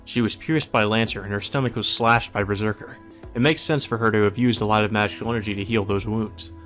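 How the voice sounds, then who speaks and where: A man voicing a formal, stern female warrior in a visual novel, with stiff, measured phrasing. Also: on the low side, fairly even intonation, a bit bright, soft background music, lightly acted. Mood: earnest, formal.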